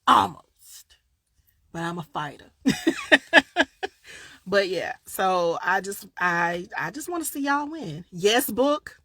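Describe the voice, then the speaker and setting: A woman with a Southern accent, chatting casually on a live stream as a grief coach, a little tired, swinging from loud bursts to quiet asides. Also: medium pitch, lively intonation, slow, pauses often, dry recording, clean studio sound. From Southern United States. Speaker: grief coach and podcast host. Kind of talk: casual live-stream chat answering viewers.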